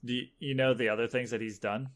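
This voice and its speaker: A man's voice: mid-range voice, fairly even intonation, fast talker. Hesitant man in casual podcast chat. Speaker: podcast co-host.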